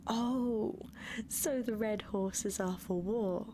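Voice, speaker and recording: A feeble, famished horse, talks very slowly in a breathy, plaintive half-whisper. It sounds vague and gentle, in a radio comedy with clear noise behind. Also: female voice, mid-range voice. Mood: confused. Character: starved, feeble, dim but sweet-natured horse of Famine. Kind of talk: comic radio play acting.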